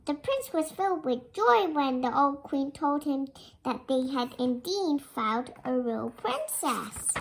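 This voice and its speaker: A child reading a fairy tale aloud in a careful, expressive storytelling manner, as a storybook narrator. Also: sits high, rough recording. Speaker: storybook reader.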